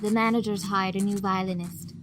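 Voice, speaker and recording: A woman playing a composed, formal mature pony madam in an audio drama, measured and politely chiding. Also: mid-range voice, level tone, background noise, close to an everyday voice. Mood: poised, knowing.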